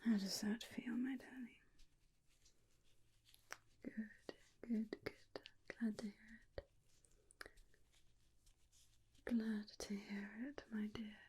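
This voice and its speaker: A woman voicing a tender, protective, faintly menacing vampire companion in a soft, soothing ASMR roleplay whisper. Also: a little high, fairly even intonation, a little hiss or hum, low-quality recording. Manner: slow, soothing, coaxing with endearments. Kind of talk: ASMR roleplay, personal attention.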